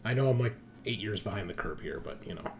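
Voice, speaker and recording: A man's voice: on the low side, expressive, fast talker, gravelly, a little mellow, a little hiss or hum. A man hosting a tabletop roleplaying show, chatting casually with slangy, informal enthusiasm. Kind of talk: casual chat, introducing players.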